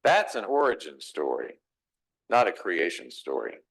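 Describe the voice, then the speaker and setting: A man's voice: low-pitched, wildly swooping pitch, unhurried, creaky, a bit bright. A middle-aged man from Texas with a Texas accent, preaching a reflective, conversational sermon in a church, asking his listeners questions. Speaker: pastor. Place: church sanctuary.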